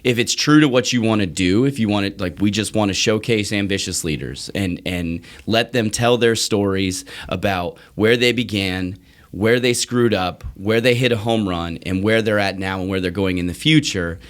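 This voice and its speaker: A man's voice: lowish voice, normal speed. A man hosting a podcast, talking in an earnest, informal, conversational interview style. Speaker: podcast host.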